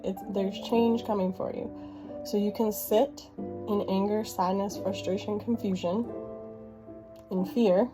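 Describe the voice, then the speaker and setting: Woman, 30s, hoarse from being sick, earnest encouraging vlog talk, laughs easily. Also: mid-range voice. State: hoarse, voice coming and going from illness. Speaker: vlogger sharing her life as a widowed mother. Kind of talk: heartfelt casual talk to camera. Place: home bedroom used as an office.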